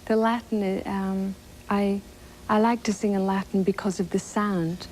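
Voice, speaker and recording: Soft-spoken Irish woman, hesitant. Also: mid-range voice, fairly even intonation, measured pace, slight background noise. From northwest Ireland. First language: Irish Gaelic. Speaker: musician guest. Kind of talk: interview answers. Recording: dull lo-fi broadcast tape.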